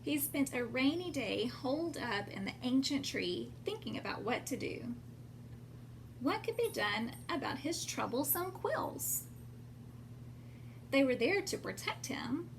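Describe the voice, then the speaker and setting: A woman reading a storybook aloud expressively to young children, pausing to explain things simply. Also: high voice. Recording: clear background noise.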